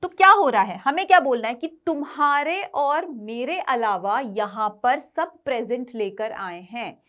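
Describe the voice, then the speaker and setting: A woman with an Indian accent and a distinctly high voice teaches grammar for exam students. She speaks slowly, often asking a question and then answering it herself. Her explanations move back and forth between two languages. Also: a bit bright. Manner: switches between Hindi and English. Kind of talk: exam coaching lesson.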